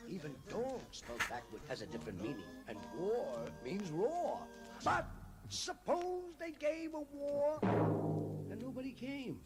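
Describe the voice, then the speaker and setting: Man acting a creaky, theatrical trailer voice. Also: high voice, wildly swooping pitch, unhurried, loud music behind the voice, heavily acted. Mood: mock-grave. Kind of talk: vintage satirical film trailer.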